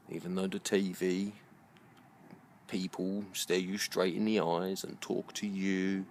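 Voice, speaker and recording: British guy, middle aged, really deep voice, thinking out loud slowly and earnestly in short halting bits, some background noise. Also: gravelly. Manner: earnest, deliberate, halting phrasing. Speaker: video blogger. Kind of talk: slow reflective monologue to camera.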